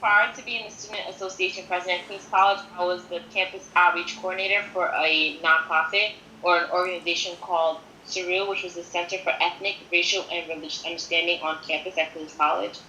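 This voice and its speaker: A woman in her twenties gives an earnest, conversational account of herself as an interview guest. Her voice is slightly low and very bright, and she restates phrases to drive them home. The room echoes around her, with faint noise behind. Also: fairly even intonation, fairly quick, few pauses. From born in Haiti, grew up in New York City from age five. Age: 20s. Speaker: podcast guest. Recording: roomy echo with faint background noise.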